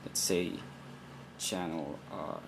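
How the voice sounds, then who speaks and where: Man, unhurried delivery, gravelly voice, hesitant step-by-step demonstrator, noticeable background noise. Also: low voice. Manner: hesitant, thinking aloud. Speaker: radio programming demonstrator. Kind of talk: unscripted hands-on tutorial. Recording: noisy amateur recording, steady background noise.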